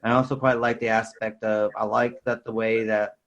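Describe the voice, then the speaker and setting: Man, fairly low pitch, podcast host, casual film talk, frequent verbal tags. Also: level tone, moderate pace, vocal fry, a bit bright, dull audio. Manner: repeats verbal tags like "sort of thing".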